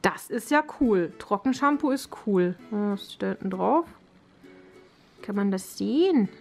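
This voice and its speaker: A woman's voice: medium pitch, expressive, unhurried, creaky. A young woman, talking casually and thinking aloud as she reviews products in an unboxing vlog. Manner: chatty, colloquial, thinking aloud. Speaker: dog owner and vlogger presenting an unboxing. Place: living room, indoors. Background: music in the background.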